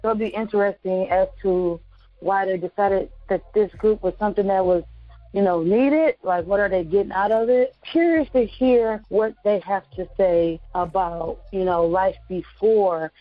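A woman's voice: mid-range voice, moderate pace, no room sound, narrow, tinny audio. A woman co-hosting a casual radio talk, chatty and joking. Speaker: co-host.

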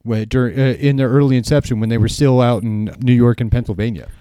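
A man's voice: mid-range voice, fairly even intonation, fast talker, dark tone, clear, resonant tone, no room sound. An American man from Utah co-hosting a podcast in casual, joking conversation. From Utah, United States. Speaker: podcast co-host.

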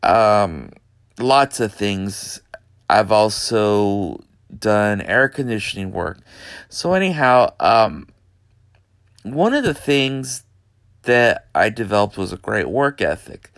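Guy rambling slow on his podcast, lots of ums, smooth clean voice. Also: fairly low pitch, expressive, fairly bright tone, dry recording, studio quality. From Texas. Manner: unhurried, hesitant, many fillers. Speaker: podcast host. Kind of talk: casual monologue. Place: bedroom.

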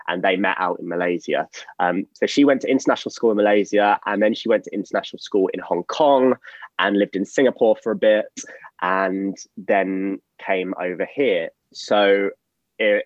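A man's voice: lowish voice, no echo. A young man, speaking as a guest in a casual remote interview, hesitant and rambling. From London. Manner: hesitant, warm, rambling with fillers. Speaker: guest, actor. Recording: dull, dry, lo-fi audio.

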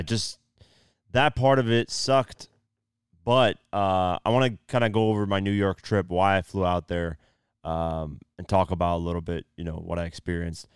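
A tired young man hosting his own podcast, losing his voice and keeping the energy low, talking casually with lots of fillers. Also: smooth, no echo, clean studio sound. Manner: laid-back, subdued, lots of fillers like "you know" and "um". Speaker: podcast host. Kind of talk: casual solo podcast talk.

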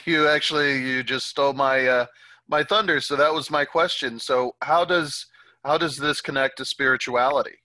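Male podcast host, casual, bright tense tone, close dry sound. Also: medium pitch, fairly even intonation, moderate pace, no echo. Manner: frequent short backchannel affirmations. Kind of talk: casual interview.

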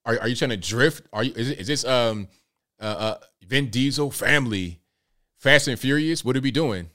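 Late-30s male streamer, relaxed conversational delivery, repeats his points. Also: medium pitch, normal speed. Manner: laid-back, conversational, repeats himself. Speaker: livestream host. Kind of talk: casual talk reading viewer comments.

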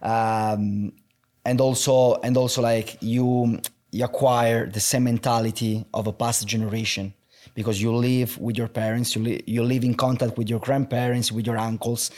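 A young man with an Italian accent talks openly about his own life in an interview. His speech is halting, full of restarts and filler words, and sits at an ordinary pitch for a man. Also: level tone, darkish tone. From Italy. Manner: halting, many restarts and "like" fillers, open and reflective. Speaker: guest. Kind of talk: candid personal conversation.

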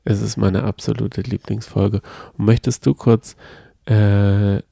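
Male podcast co-host, mellow voice, close dry mic, enthusiastic with restarts. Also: fairly low pitch, level tone, creaky, slightly rough, no room sound. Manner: earnest and enthusiastic, with halting restarts. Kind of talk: casual chat.

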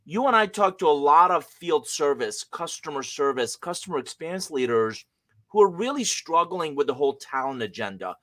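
A man's voice: on the high side, big pitch swings, normal speed, sharp tone, no room sound. A man hosting a business podcast, friendly and upbeat as he welcomes a guest and asks questions. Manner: upbeat and friendly, polished interviewer. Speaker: podcast host.

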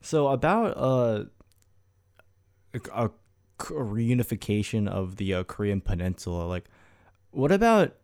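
A man's voice: fairly low pitch, big pitch swings, a little slow, long pauses, a little mellow. A man co-hosting a podcast, chatting casually and asking curious questions, often apologizing as he cuts in. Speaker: podcast co-host. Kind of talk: casual chat.

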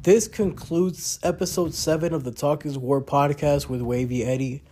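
A man's voice: on the high side, fairly even intonation, normal speed, warm tone, faint music behind. A young man hosting his own podcast alone, congested and talking in a loose, rambling way with lots of fillers and restarts. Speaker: podcast host. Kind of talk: casual solo podcast talk.

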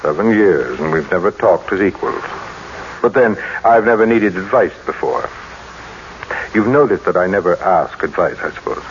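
A muffled old radio drama recording with light noise carries a deep male voice. a cold, imperious businessman, suspicious and decisive. He speaks brusquely, giving orders as one used to being obeyed. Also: lively intonation, normal speed. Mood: stiffly formal. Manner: brusque, clipped, commanding.

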